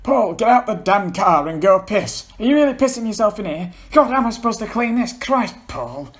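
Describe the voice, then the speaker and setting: A man's voice: high voice, animated, a little fast, pitched above the performer's own voice. Man acting an exasperated, blunt young man. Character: irritable, curt male friend, exasperated, later apologetic. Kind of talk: amateur dramatic reading of a story.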